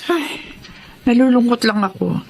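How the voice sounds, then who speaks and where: An actress playing a weary, gentle older widowed mother with a Filipino accent in a radio drama. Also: on the high side, animated, a little slow, vocal fry. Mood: sorrowful. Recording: noticeable background noise.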